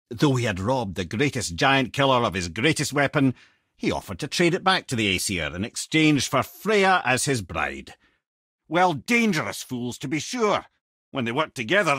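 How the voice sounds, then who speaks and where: An actor with a Scottish accent playing a wry, worldly old storyteller and adviser in a video game, husky and eloquent, speaking with theatrical flair and dry asides. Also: male voice, fairly high pitch, fairly bright tone, no room sound. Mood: wry, conversational. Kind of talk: video game character dialogue and mythic storytelling.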